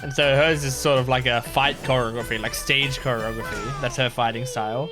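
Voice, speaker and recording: Mid-pitched man rambling through casual reaction commentary. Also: steady tone, a little fast, over loud music. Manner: rambling, thinking aloud. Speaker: reaction video host.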